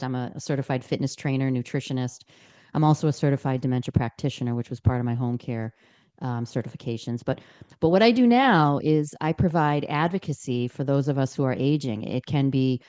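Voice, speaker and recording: A woman's voice: a bass voice, mellow, clear, resonant tone. A woman, a podcast guest telling her story in an earnest way, with many fillers and restarts. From Boston, Massachusetts. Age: 60s. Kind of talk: podcast interview.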